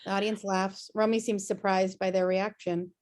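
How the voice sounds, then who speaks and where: A woman's voice: medium pitch, level tone, normal speed, darkish tone. A woman reading screenplay stage directions as scripted narration on a video-call table read.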